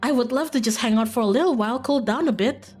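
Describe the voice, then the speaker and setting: A woman's voice: fairly high pitch, fast, a little mellow, breathy edge, pitched above the performer's own voice. A woman voicing an awkward, eager young newcomer who is the protagonist of a visual novel.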